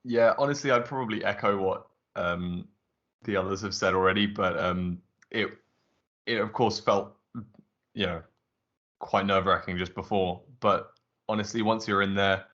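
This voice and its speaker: Young male student from London, very deep voice; hesitant, measured delivery, lo-fi sound. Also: fairly even intonation, a bit bright. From Southwest London. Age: young adult. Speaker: student guest. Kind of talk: casual group chat. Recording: dull lo-fi audio.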